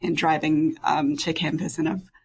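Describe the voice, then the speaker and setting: A young woman with a New Zealand accent, chatting casually as an interview guest, laughing often and filling pauses with ums. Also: deep voice, little pitch movement, darkish tone. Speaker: guest, violinist and ensemble founder.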